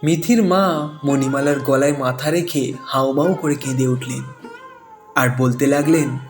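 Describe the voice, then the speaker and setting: A man's voice: mid-range voice, a little slow. A man narrating a scripted cartoon moral story in an emotive, dramatic way. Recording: music bed behind the voice.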